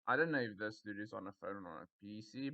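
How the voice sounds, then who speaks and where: A young man talks in rambling, casual commentary that breaks into loud disbelief. His voice is bright and forceful, and the sound comes through a muffled, lo-fi mic. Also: lowish voice, quick pace, creaky. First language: Afrikaans. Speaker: YouTuber reviewing Reddit posts. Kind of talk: casual commentary reading posts.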